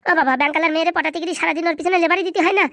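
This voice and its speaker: A man voicing an excitable, cheeky young male cartoon buddy, with slangy bantering delivery and a pitched-up effect. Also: rapid-fire, gravelly, dry recording, studio quality, heavily acted. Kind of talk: comedy cartoon dubbing in colloquial rural dialect.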